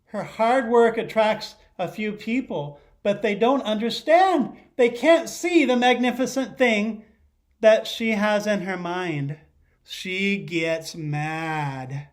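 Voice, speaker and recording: A middle-aged man reads a picture book aloud as a teacher would. His voice sits high for a man and he takes his time. He tells the story expressively and pauses to put questions to his young listeners. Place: living room at home.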